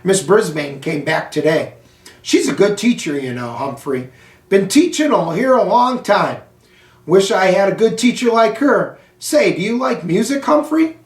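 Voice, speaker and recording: Jovial storybook cleaning man read by a male narrator, chatty, folksy and animated, in a roomy echo. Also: sits high, unhurried. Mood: chatty, friendly. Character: friendly, jovial male night janitor with a mustache. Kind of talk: children's storybook character voice. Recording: roomy echo, distant microphone.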